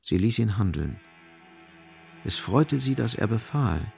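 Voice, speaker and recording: Male narrator, lowish gravelly voice, measured, grave, literary radio drama storytelling. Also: big pitch swings, darkish tone, faint music behind.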